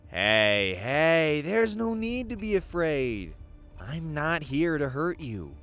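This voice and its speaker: A man's voice: on the high side, big pitch swings, fairly bright tone, soft background music, full character voice. An actor playing a menacing male crime boss in an audio drama, taunting and falsely friendly as he toys with his prey. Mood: mocking menace. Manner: taunting, toying, drawn-out threats.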